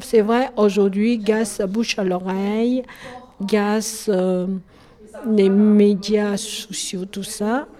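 A woman from China speaking French as a second language with a Chinese accent, answering interview questions in a halting way and often correcting herself. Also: medium pitch, steady tone, warm tone. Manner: halting, searching for words, self-correcting. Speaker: tea shop owner.

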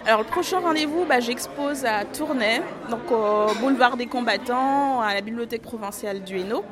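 A woman's voice: a little high, moderate pace, a crowd in the background. A young woman in her twenties, an artist photographer, answering questions in a casual radio interview with many fillers and easy laughs.

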